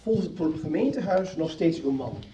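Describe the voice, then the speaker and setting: A man's voice: fairly high pitch, animated, a little fast, warm tone, highs cut off, slight background noise, lightly acted. A man as a matter-of-fact policeman. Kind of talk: 1990s radio soap acting.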